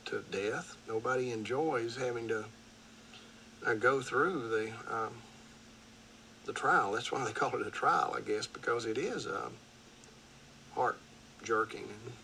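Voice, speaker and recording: Gravelly, solemn judge. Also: male voice, mid-range voice, pushed and bright, a little hiss or hum, poor audio. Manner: halting, solemn, with many pauses. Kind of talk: courtroom remarks.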